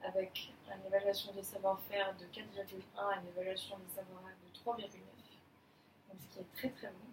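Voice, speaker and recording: A woman's voice: medium pitch, level tone, a little fast, natural voice. A woman playing a courteous manager in a mock job appraisal, speaking formally but with frequent fillers. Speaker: manager in an appraisal role-play. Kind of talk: amateur role-play of a job interview. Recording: echoey room, mic far off, clear noise.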